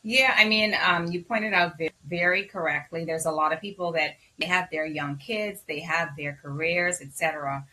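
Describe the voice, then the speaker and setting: Bahamian woman, low bright voice. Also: normal speed, few pauses, a little hiss or hum, rough recording. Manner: lively, many ums, repeats words for emphasis. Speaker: physician guest. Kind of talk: casual interview talk.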